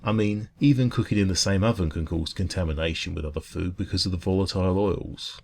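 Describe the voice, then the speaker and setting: A man voicing a friendly, food-loving dragon who bakes at a café, chatting gently and earnestly in a rambling ASMR roleplay. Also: low-pitched, fast talker, gravelly, a little hiss or hum. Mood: chatty, easygoing. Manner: gentle, rambling, hesitant, earnest enthusiasm about baking. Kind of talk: ASMR roleplay, soft casual chat.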